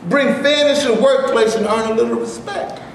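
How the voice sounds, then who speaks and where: A man playing an earnest, determined actor and would-be organizer in an animated staged play reading. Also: very high-pitched, a little fast, vocal fry. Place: indoor room, live staged reading. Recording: echoey room, faint noise.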